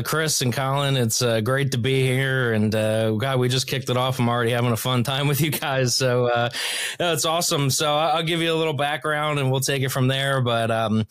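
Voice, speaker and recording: Man in his 30s, podcast guest, smooth clear voice, dry close sound, relaxed and rambling. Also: medium pitch, no echo. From Philadelphia. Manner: easygoing, rambling, frequent fillers. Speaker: guest, real estate investor. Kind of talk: casual interview talk.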